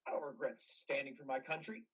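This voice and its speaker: An actor playing a traumatized, bitter and weary combat veteran in a modern stage play reading, with a husky voice. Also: male voice, mid-range voice, expressive, brisk. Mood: bitter, raw.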